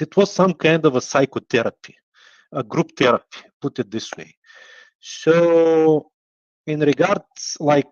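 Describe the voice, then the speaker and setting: A man's voice: mid-range voice, no echo. Man on a dull call. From Bulgaria. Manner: thoughtful, searching for words. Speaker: guest asking a question. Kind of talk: casual video call talk. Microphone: video call. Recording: dry, dull lo-fi video call audio.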